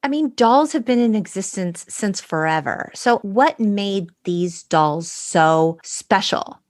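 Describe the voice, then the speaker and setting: A woman's voice: mid-range voice, lively intonation, takes it slowly. A middle-aged woman chatting casually as the co-host of a podcast. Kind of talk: casual chat.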